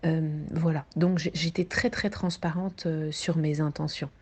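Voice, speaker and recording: Low-voiced woman filmmaker, thoughtful and halting. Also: fairly even intonation, slightly rough. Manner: thoughtful, hesitant with many fillers. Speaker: documentary filmmaker, interviewee. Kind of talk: recorded interview answer.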